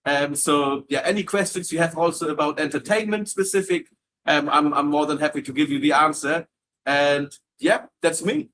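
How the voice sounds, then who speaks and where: German guy running a live q&a, kinda high voice, lots of ums, faint hum behind. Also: steady tone, normal speed, fairly bright tone. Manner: friendly, filler-heavy, repeats words while reading comments. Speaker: entertainment team leader hosting a live Q&A. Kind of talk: casual live-stream chat.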